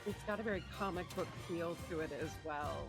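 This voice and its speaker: A woman's voice: fairly low pitch, a little fast, over music. A woman co-hosting a reaction show with casual, conversational commentary.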